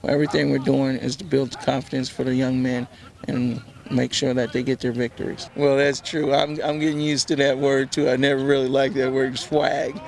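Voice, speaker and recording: A man's voice: mid-range voice, level tone, moderate pace, vocal fry, a little mellow. A man with an American accent, a coach speaking casually in an outdoor interview. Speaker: college football coach. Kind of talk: casual field-side interview.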